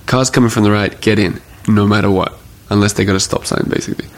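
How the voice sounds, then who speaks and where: Man, casual storyteller, lowish gravelly voice, acts out the other people in the story. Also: fast talker. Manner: animated anecdote teller, acts out quotes.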